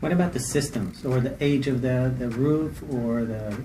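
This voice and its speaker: A man's voice: medium pitch, moderate pace, warm tone, a little hiss or hum. A man interviewing, asking brief probing questions from away from the microphone.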